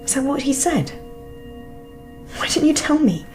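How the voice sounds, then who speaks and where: A woman's voice: high voice, big pitch swings, unhurried, a little mellow, soft background music. A woman with a British accent playing an earnest, insistent wife in a naturalistic radio drama, urgent and intense. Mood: urgent, accusing. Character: earnest, insistent young wife, convinced and pressing.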